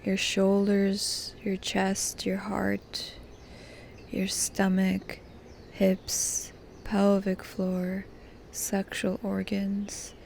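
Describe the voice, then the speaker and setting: A woman hosting a guided meditation in a calm, gentle, soft voice that turns breathy at times, pausing between short phrases. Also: mid-range voice, a little hiss or hum. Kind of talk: guided meditation, soft slow soothing delivery.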